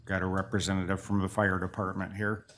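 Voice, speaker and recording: Lower-voiced man chairing a council meeting. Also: little pitch movement, a little fast, a bit bright, a little hiss or hum. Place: city council chamber.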